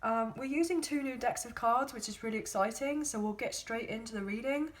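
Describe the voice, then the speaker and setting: A woman's voice: fairly high pitch, a little fast. A woman gives a chatty, rambling tarot reading, filling it with hedges and ums. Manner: chatty, rambling, hedging with many fillers. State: sore throat, losing her voice. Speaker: tarot card reader. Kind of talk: casual spoken tarot reading.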